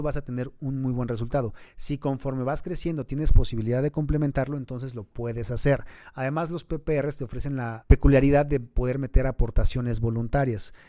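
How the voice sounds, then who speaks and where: Mexican man, 30s, mellow low-bright timbre, dry close sound; earnest financial advisor type. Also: brisk, no echo. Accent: Mexican Spanish. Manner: earnest, advising, builds to emphatic points. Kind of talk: explanatory interview talk.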